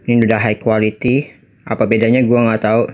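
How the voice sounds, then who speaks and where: A man's voice: on the low side, level tone, quick pace, darkish tone, muffled recording, faint music behind. Casual Indonesian man commentating on games. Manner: slangy, mixes in English phrases. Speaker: gaming YouTuber.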